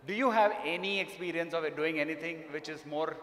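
A man's voice: on the high side, a little fast, fairly bright tone. Man moderating in an echoey hall. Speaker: panel moderator at an HR conference. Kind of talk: unscripted panel moderation. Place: large conference hall stage, indoors. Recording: echoey hall, amplified stage sound.

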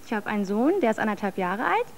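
A woman's voice: medium pitch, sing-song, fast talker, a little hiss or hum. Hesitant young woman in her early twenties. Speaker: contestant. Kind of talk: studio self-introduction. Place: TV studio stage.

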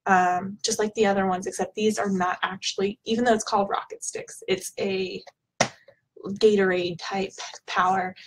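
A woman in her thirties, slightly hoarse from being sick, talks in a loose, rambling way with lots of ums. She sits at a desk in a small home office and speaks into a webcam, and a faint hiss runs under the recording. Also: medium pitch, flat delivery, moderate pace, poor audio. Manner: chatty, rambling, with frequent ums. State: hoarse from illness. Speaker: product vlogger. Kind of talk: casual product unboxing chat.

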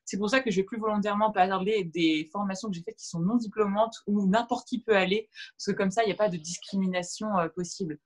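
A woman's voice: mid-range voice, fairly even intonation, fast, few pauses. A woman in her thirties whose first language is Arabic, a guest on a video-call interview, speaking reflectively with many fillers and restarts. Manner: gestures while talking. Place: room at home with shelves. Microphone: video call webcam.

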